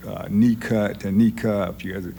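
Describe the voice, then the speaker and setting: A middle-aged man talks easily as a podcast guest, his voice very low and gravelly. He is plain-spoken and relaxed. A steady hum sits under the recording. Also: unhurried. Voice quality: deep voice that does not carry. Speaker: jiu-jitsu coach.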